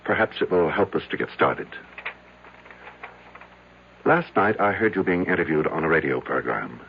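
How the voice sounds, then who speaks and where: An actor playing a reserved psychiatrist, speaking formally and with measure in an old radio drama. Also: male voice, rumbling low, lively intonation, normal speed, creaky, a little hiss or hum, full character voice. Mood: reserved, professional. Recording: dull old radio broadcast.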